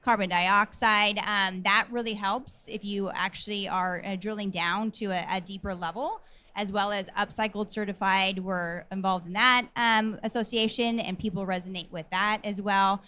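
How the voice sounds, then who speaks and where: A woman's voice: medium pitch, steady tone, moderate pace, bright, forceful tone, smooth. A woman panelist at a trade show, speaking in a chatty, enthusiastic way with many fillers. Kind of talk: panel discussion.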